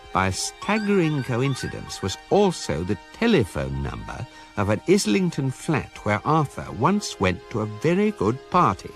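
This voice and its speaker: A British man narrating a scripted radio drama in a measured, wry, deadpan way. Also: fairly low pitch. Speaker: narrator, reading a guidebook's story.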